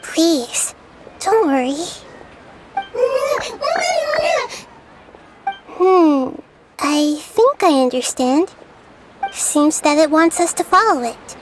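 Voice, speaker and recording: A woman voicing a young girl deity who is calm, gentle and thoughtful, in a game cutscene. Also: high-pitched, slow, noisy background. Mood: soothing. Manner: soft-spoken, thoughtful.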